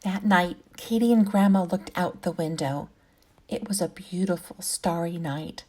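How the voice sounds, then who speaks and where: A woman teacher reading a storybook expressively to young children, with measured, drawn-out phrasing. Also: fairly low pitch, steady tone, a little mellow. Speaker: kindergarten teacher.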